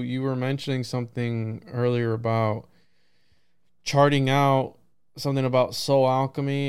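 A man's voice: mid-range voice, clean tone. A man hosting a casual conversational interview, talking informally and rambling as he thinks aloud. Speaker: podcast host.

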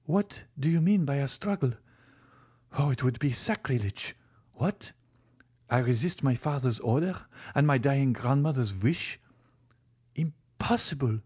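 A man voicing an anguished, noble young woman in an audiobook, with a pleading, breathy, exclamatory delivery. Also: medium pitch, lively intonation, a little slow, darkish tone, voice pushed up. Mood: shocked. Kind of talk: dramatic audiobook character acting.

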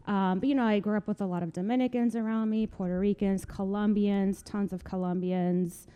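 A young woman from New Jersey, talking casually as a guest in an interview, chatty and quick to laugh. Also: medium pitch, fairly even intonation, dark tone, smooth. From New Jersey, USA. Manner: chatty, laughs often, many fillers. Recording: long room reverb.

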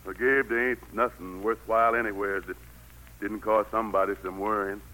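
Commanding, folksy Lord God, bright forceful voice. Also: male voice, on the low side, steady tone, normal speed, low-quality recording. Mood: musing, decisive. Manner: folksy, authoritative, plain rural speech. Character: the Lord, commanding yet homespun, brooding patriarch God. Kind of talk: 1940s-50s radio play acting. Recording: vintage radio broadcast recording.

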